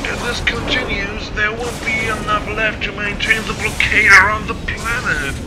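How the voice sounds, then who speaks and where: A man's voice: steady tone, normal speed, over loud music, close to an everyday voice. An actor playing a dutiful, formal male military commander in a sci-fi audio drama. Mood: grave, reporting. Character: dutiful male fleet commander reporting to his lord. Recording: loud starship rumble behind.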